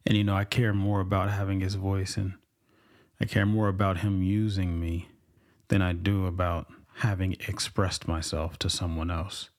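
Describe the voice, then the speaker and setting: A man with a very low, deep voice talks through his faith in a slow, reflective monologue. He muses aloud, pausing and restarting his sentences as his thoughts form. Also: level tone. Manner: musing, halting, many restarts and fillers.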